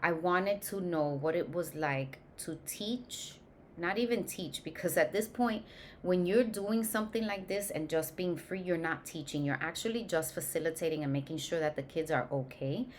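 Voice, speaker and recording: A woman in her forties, a course host and education founder, introducing herself in relaxed chat, with many ums and loose self-corrections. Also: lowish voice, moderate pace, slight background noise. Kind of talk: personal introduction, casual talk to camera.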